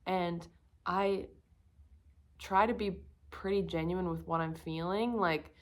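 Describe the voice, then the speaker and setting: This is a young woman talking straight to her camera in a loose, candid vlog. Her voice sits a little low and sounds croaky, as if she is a bit sick, and she rambles with plenty of fillers. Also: fairly even intonation, takes it slowly. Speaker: vlogger. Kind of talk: casual confessional talk to camera.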